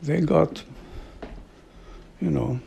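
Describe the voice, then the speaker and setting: A middle-aged man from Sweden, an author and devotee, talking reflectively in a slow, halting way with frequent soft laughs. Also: a little high, gravelly, breathy edge. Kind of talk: reflective monologue.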